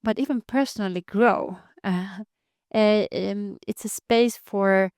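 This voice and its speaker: Earnest woman in her thirties, grew up in Greece, smooth clear voice, dry close sound. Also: medium pitch, steady tone, slow, a little mellow, no room sound. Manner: earnest, many fillers and restarts. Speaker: community founder, data engineer. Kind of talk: interview answers.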